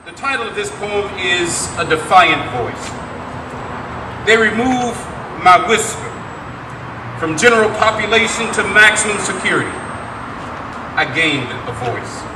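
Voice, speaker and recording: A man reciting a poem in a deliberate, declamatory dramatic style. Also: sits high, lively intonation. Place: live staged reading. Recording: distant, echoey room with background noise.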